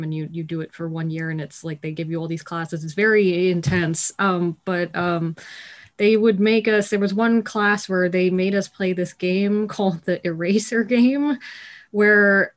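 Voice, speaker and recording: A young woman on a video call, casually telling a story in an animated, halting way with lots of "like". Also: fairly low pitch. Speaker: actor in a community theatre production. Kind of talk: casual reflective anecdote. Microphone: video call computer mic.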